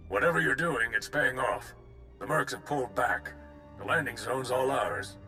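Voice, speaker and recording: A man voicing a brisk, dependable soldier in a video game, in clipped military radio talk. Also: mid-range voice, pushed and bright, lightly acted. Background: game music.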